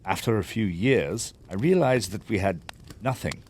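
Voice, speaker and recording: A man playing a proud, domineering tycoon, animated. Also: lowish voice, normal speed, a little hiss or hum, natural voice. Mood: grudging, subdued. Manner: blustering, forceful, formal. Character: proud, hot-tempered, domineering wealthy businessman client. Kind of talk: audio theatre acting.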